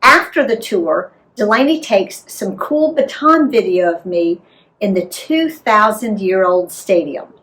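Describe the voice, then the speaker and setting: An American woman narrating a travel memoir as an author reading aloud, in an expressive, deliberate storytelling manner. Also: medium pitch, rough recording. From United States.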